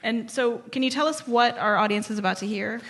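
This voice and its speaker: A woman's voice: fairly high pitch, a little fast, fairly bright tone. A woman hosting a radio show, asking questions in a live interview on stage. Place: on stage before a live audience.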